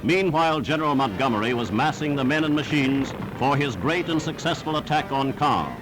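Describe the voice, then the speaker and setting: A man's voice: fairly high pitch, animated, a little fast, poor audio. A man narrating a 1940s wartime newsreel in a formal, declamatory scripted style. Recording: 1940s newsreel soundtrack with music.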